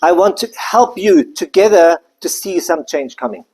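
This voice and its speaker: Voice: medium pitch, animated, moderate pace, a little mellow, husky, rough recording. A speaker from Germany, giving a live business presentation in a loose, conversational way with many side remarks. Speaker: company presenter.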